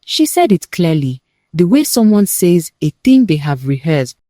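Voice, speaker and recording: A woman narrating a scripted dramatic family tale as a storyteller, in deliberate, measured phrases with pauses for weight. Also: on the low side, sing-song, warm tone, no echo, studio quality.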